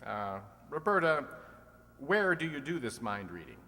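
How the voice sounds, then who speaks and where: A man's voice: mid-range voice, big pitch swings, unhurried, sharp tone, heavily acted. A man playing a sly, teasing host of an old-time radio quiz show, full of dry wisecracks. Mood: wry. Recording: long hall reverb.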